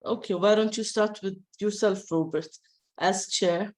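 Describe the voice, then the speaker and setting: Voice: medium pitch, fairly even intonation, a little slow. A person hosting a video meeting, politely inviting each attendee to introduce themselves. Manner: polite, guiding the turns. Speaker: meeting host. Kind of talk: moderating a video call. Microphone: video call.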